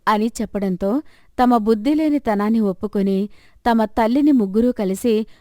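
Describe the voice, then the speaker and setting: A woman's voice: on the high side, moderate pace, a little mellow, dry recording. Woman narrating, dry close recording. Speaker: storyteller. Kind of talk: scripted moral story narration.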